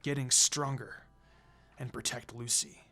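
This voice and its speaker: Teenage boy fighter for story reading, played by the narrator: brooding, protective, lowered gentle voice. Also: steady tone, unhurried, faint music behind. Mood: quiet, protective.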